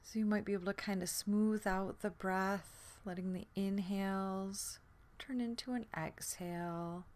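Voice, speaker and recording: Slow, soft-voiced woman guiding sleep meditation. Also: medium pitch, fairly even intonation. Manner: soothing, gentle, unhurried with long pauses. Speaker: guided meditation instructor.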